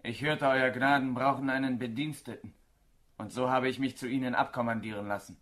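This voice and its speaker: An actor playing a respectful, plain-spoken young soldier in a German radio drama. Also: male voice, medium pitch, fairly even intonation, fairly quick, fairly bright tone, natural voice. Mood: subdued, deferential. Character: deferential young common soldier serving an officer.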